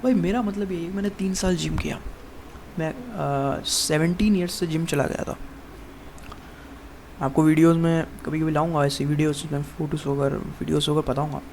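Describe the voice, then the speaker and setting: A young man in his early twenties talks loosely to the camera with a rough, gravelly edge on his voice, sitting a touch higher than most men. He rambles, starts sentences over and keeps calling the listener "bro" as he vents about fitness. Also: steady tone, normal speed, darkish tone, slight background noise. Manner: rambling, addresses viewer as "bhai" constantly, many restarts. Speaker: fitness vlogger. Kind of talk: casual to-camera monologue.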